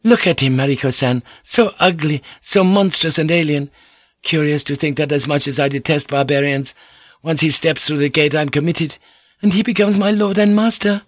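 A proud young noblewoman sneers and pushes her voice up high, as a male reader puts her on. She is scornful and haughty. The recording is dry and close. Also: normal speed, dry recording, lightly acted.

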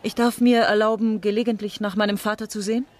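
A woman's voice: mid-range voice, flat delivery, fast, natural voice. An actress playing a composed aristocratic Frenchwoman, a devoted daughter, speaking formally and with measure in a German radio crime drama. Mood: polite.

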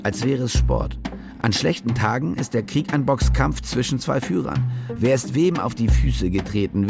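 An actor playing a cynical, self-loathing fake war reporter in a radio drama monologue, sardonic and speaking in clipped phrases. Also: male voice, medium pitch, vocal fry. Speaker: narrator and lead character.

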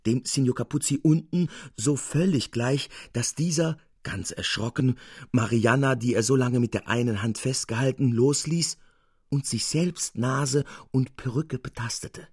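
A man narrating a scripted literary tale for radio as an expressive, theatrical storyteller. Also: mid-range voice, unhurried, husky, dry recording, clean studio sound.

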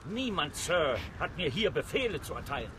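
Radio play: dutiful fort captain, clipped, high-ish, noisy take. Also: male voice, sing-song, normal speed, a bit bright. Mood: stiff. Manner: clipped, military. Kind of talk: radio drama acting.

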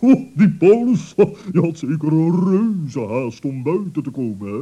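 A man's voice: fairly high pitch, expressive, measured pace, mellow, breathy edge, highs cut off. A man voicing a stern, fussy old male owl in an old radio play, pompous and pedantic in his corrections. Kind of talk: 1960s radio play acting.

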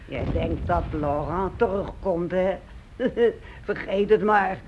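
A man playing a sly, mocking old village woman who likes her drink, creaky and blunt, in a radio drama. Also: high-pitched, slow. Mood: mocking, spiteful. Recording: dull, noisy old radio play recording.

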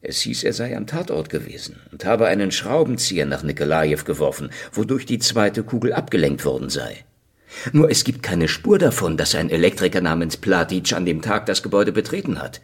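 A man acting a cynical, world-weary secret police investigator in a noir radio play, with a gravelly, sardonic delivery. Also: low-pitched, sing-song, fairly quick, dry recording, heavily acted. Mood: probing. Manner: sardonic, dry. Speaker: actor and narrator in a radio play. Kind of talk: noir radio drama, hard-boiled first-person narration.

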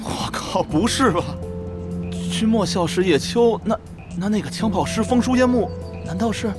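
A man acting a blunt, excitable guild leader in a Chinese radio drama, brash and full of slangy exclamations. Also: high-pitched, moderate pace. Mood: shocked. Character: blunt, excitable male guild leader in online-game world. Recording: background music under the voice.

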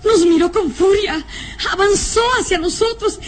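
A woman's voice: very high-pitched, moderate pace. An actress playing a frightened young woman, breathless and melodramatic, in an old-time radio serial. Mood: horrified.

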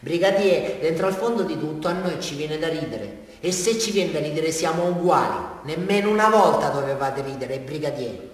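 A man's voice: on the high side, expressive, normal speed, natural voice. A man acting an unhinged small-town murderer with a southern Italian accent, confessing to a police brigadier in a rambling, insistent, taunting way in a theatrical radio drama. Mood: taunting, bitter. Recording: echoey hall from far off, faint music.

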